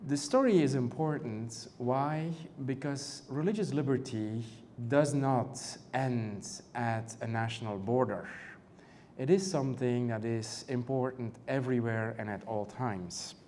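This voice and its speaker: A man's voice: mid-range voice, warm tone, a little hiss or hum. European-accented man giving a panel talk. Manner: measured, many "uh" and "um" fillers, rhetorical questions. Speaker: panelist, canon law professor.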